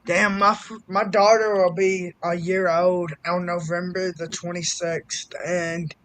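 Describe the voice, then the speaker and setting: A man in his twenties chatting casually on a live stream, excitable and swearing freely. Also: high voice, a little slow, dry recording. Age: 20s. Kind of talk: casual chat.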